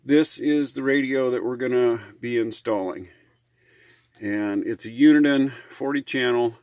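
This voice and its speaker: A man's voice: medium pitch, a little slow, a little mellow, dry recording, clean studio sound. An American man talking casually in a how-to vlog, in a rambling, hesitant, folksy way. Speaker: RV living vlogger.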